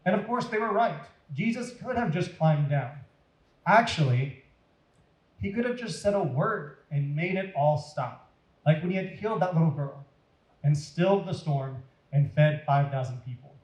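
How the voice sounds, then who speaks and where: A man reading a story aloud to children in a deliberate, dramatic, expressive way. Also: fairly high pitch. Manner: deliberate, dramatic, addressing young listeners. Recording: roomy echo.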